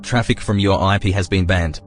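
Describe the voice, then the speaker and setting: A man playing an officious security AI in an audio drama, formal, deadpan and bureaucratic. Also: sits low, normal speed, lightly acted. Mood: stern, officious. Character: officious, stern male security AI guarding a system. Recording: music under the dialogue.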